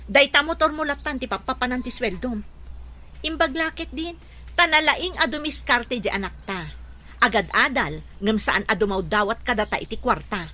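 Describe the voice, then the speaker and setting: A woman's voice: on the high side, expressive, moderate pace, bright, forceful tone. An actress playing an exasperated wife and mother who nags and complains indignantly in a radio drama. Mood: nagging. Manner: nagging, complaining. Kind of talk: Ilocano radio drama acting.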